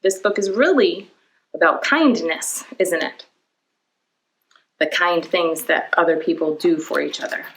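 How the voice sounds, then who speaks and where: A woman's voice: lowish voice, a little slow, gravelly, pauses often, a little hiss or hum, poor audio. A woman hosting a children's storytime, reading and explaining simply to young listeners and often putting questions to them. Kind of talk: children's storytime read-aloud and talk to young viewers.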